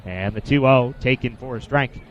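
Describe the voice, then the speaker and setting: A man in his twenties doing live baseball play-by-play for radio, in a measured, descriptive way with statistics between pitches. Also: highs cut off, a little hiss or hum. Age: 20s. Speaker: play-by-play sportscaster.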